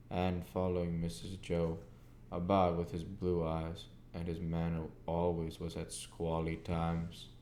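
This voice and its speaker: A man narrating a classic storybook as an amateur reader, with deliberate, slightly halting phrasing. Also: deep voice, dark tone. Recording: echoey room, faint background noise.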